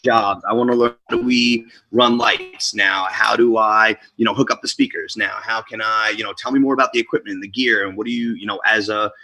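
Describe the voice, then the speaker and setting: A lively, talkative young man with a slightly low, husky voice that still has a forceful brightness. He talks loosely, with street-casual phrasing. The sound is dry and close to the mic. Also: a little fast, hardly any pauses, poor audio. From born in Colombia, raised in New Jersey. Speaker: guest DJ and MC. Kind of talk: casual interview storytelling.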